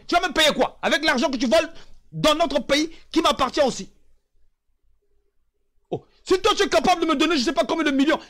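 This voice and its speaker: A man from the Republic of the Congo speaks in a very high, animated voice that swings widely in pitch. He hammers points home with repeated phrases, then sinks into low, weary asides. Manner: emphatic, repeats phrases for emphasis, drops to low sighing asides. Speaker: online commentator. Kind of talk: impassioned political monologue.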